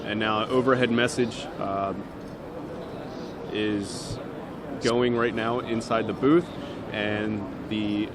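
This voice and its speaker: Guy with a slightly low voice doing a step by step demo, unscripted, busy expo crowd behind him. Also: steady tone, takes it slowly, pauses often. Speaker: product demonstrator. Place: indoor trade show booth.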